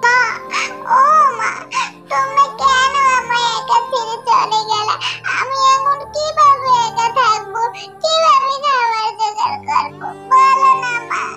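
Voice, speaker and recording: A woman's voice: extremely high pitch, unhurried, pitched above the performer's own voice. A woman voicing an innocent, orphaned little girl bird in a cartoon, with a tiny childlike, plaintive voice. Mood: tearful, pleading. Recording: echoey, music underneath.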